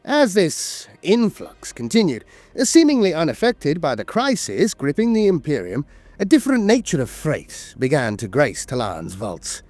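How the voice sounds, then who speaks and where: A man's voice: fairly high pitch, wildly swooping pitch, normal speed, darkish tone, no room sound, faint music behind. A man narrating scripted lore as a storyteller, with a grave, dramatic delivery.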